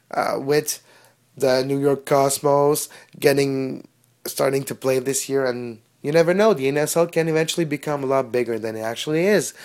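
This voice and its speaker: Canadian guy hosting a soccer podcast, chatty and loose, mid pitch voice. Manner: casual, chatty, non-native phrasing with occasional French words. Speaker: podcast host. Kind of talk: solo soccer podcast monologue.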